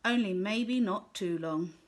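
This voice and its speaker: A woman voicing a kind, cheerful infant-school teacher who speaks in a bright, encouraging way. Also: mid-range voice, measured pace, close to an everyday voice. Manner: bright, encouraging, gently teasing.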